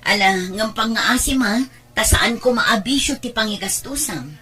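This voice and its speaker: A woman's voice: fairly high pitch, moderate pace, pushed and bright. Woman acting weary, long-suffering Filipino mother. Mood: pleading. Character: weary, self-sacrificing mother working abroad. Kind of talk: Ilocano radio drama acting.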